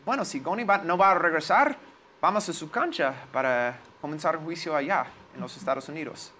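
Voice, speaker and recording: A man in his forties with an American accent, a native English speaker, recalling his experiences in an interview and pausing to search for words. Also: fairly high pitch, bright, forceful tone, slight background noise. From United States. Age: 40s. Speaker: lawyer, interview guest. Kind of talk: interview, personal recollection.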